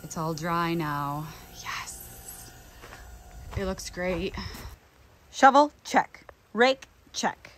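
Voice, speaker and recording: A woman's voice: on the low side, lively intonation, extremely slow, long pauses, slight background noise. A woman in her thirties talking casually to a handheld phone while working outdoors on her flower farm, thinking aloud and correcting herself. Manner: chatty, thinking aloud, self-correcting asides. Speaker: flower farmer vlogger. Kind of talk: casual vlog narration. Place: outdoors on a farm in cold weather, partly in a car. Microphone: handheld phone camera.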